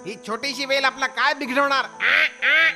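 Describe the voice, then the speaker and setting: A woman voicing a lazy, dismissive parrot with a creaky, squawky voice in a children's story. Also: high voice, unhurried, pushed and bright, faint music behind, heavily acted. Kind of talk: animated children's storybook acting.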